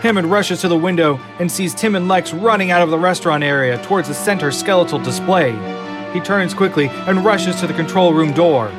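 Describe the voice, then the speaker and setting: A man reading scripted narration for an audio drama in a measured, often slow and hushed way. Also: high-pitched. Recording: clear music underneath.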